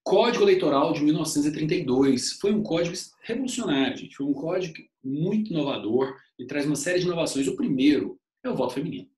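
A man's voice: fast talker, hardly any pauses, roomy sound. A man in his thirties teaching history over slides in a casual online lecture, constantly tagging sentences with a questioning "né?". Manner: chatty, uses "né?" as a constant tag, animated pitch swings.

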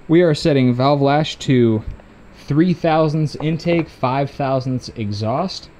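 A man co-hosting a garage engine build, joking around in relaxed banter. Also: fairly high pitch, takes it slowly, a little hiss or hum. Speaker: co-host. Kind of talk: casual workshop chat. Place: garage workshop.

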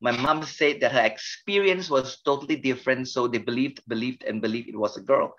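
A gentle, soft-spoken pastor in his fifties with a Malaysian accent tells his story at an unhurried, reflective pace. His voice sits in a middle register for a man. The sound is a little dull and lo-fi. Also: mid-range voice. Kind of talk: podcast interview.

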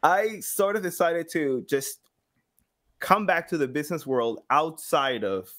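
A man in his thirties from South America, telling stories casually as an interview guest, acting out quotes and pausing to find words. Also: fairly high pitch, animated, a bit bright. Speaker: podcast guest, sound designer. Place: small home room, video call. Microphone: boom-arm microphone with headset.

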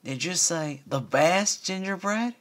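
A man plays an eager little filly in a storybook. The voice leaps far above his own, loud and impatient. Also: sits high, lively intonation, a little slow, pitched above the performer's own voice.

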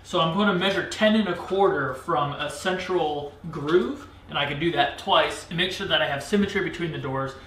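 A man's voice: a little high, moderate pace, hardly any pauses, fairly bright tone, a little hiss or hum. A man in his thirties in a home woodshop, casually talking to camera as he measures and cuts. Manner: casual, explaining measurements as he works. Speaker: woodworking channel host. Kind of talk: talking on camera while working. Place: large home woodworking shop, indoors. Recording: echoey shop from off-mic.

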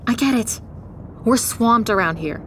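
A woman's voice: fairly high pitch, expressive, unhurried, a little hiss or hum. A woman playing an earnest, insistent female detective. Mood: earnest, pleading. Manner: pleading, insistent, principled. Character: earnest, stubborn, compassionate female homicide detective. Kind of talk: audio drama acting.

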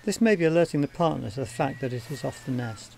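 A man giving scripted documentary narration for a wildlife training film in a measured, explanatory manner. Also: mid-range voice, expressive, creaky, darkish tone, slight background noise.

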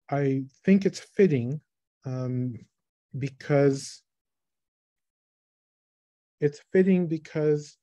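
A man in his thirties, from the Philippines, giving a thoughtful online talk about his photography on a video call, with pauses and restarts. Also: mid-range voice, dark tone, no room sound, dull audio. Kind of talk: online presentation. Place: small room, indoors. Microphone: webcam on a video call.

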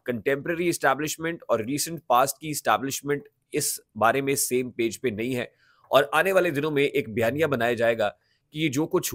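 A man's voice: medium pitch, fairly bright tone, dry recording, clean studio sound. A man in his thirties with a Pakistani accent, giving emphatic political commentary to camera, restating his points and mixing in English words. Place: small indoor home studio. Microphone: large studio microphone close in front.

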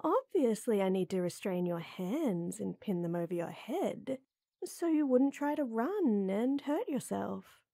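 A woman playing a tall, strong lady knight who flirts and teases softly and coyly in a roleplay audio drama. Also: a little high, vocal fry, dry recording, studio quality, full character voice. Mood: teasing, playful. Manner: teasing, coy, soft and intimate with chuckles.

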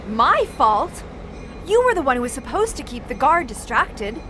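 An actress playing a nervous, brassy young bank teller on the run, snappy and slangy in a period radio drama. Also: female voice, sits high, very animated, normal speed, pushed and bright, slight background noise, full character voice. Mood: sarcastic. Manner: snappy, slangy wisecracking delivery.

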